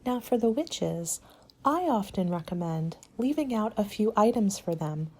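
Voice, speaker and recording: A woman doing a soft-spoken ASMR roleplay as a helpful party planner, gentle and measured, often slipping into a whisper. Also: mid-range voice, lively intonation, breathy edge.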